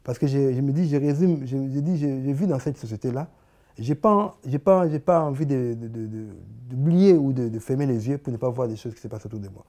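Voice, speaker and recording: A man in his forties with a Congolese French accent, answering interview questions in a living room, often stopping and repeating words as he searches for them. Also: medium pitch. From Congo (Kinshasa). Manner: halting, many repeated words and restarts, animated hand gestures. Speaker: artist guest.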